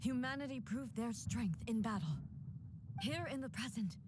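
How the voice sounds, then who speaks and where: An actress playing a proud, unyielding warrior deity in a video game, speaking in a grave, formal, declamatory way. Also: female voice, on the high side, normal speed, background noise, natural voice. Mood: solemn, resolute. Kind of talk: dramatic scripted video game voice acting. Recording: game music behind the voice.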